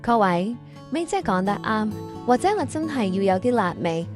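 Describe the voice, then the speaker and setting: A woman's voice: mid-range voice, sing-song, normal speed, loud music behind the voice. A woman with a Hong Kong Cantonese accent hosting and narrating a scripted workplace audio drama. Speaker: host and narrator.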